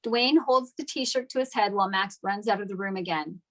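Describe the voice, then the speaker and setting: A woman's voice: mid-range voice, fairly quick, fairly bright tone. A woman giving scripted narration, reading out stage directions at a table read.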